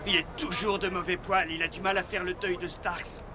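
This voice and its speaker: A man's voice: high voice, little pitch movement, normal speed, background noise, natural voice. A man playing a blunt, bitter, slangy rank-and-file soldier in a French-dubbed war film. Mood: gloomy.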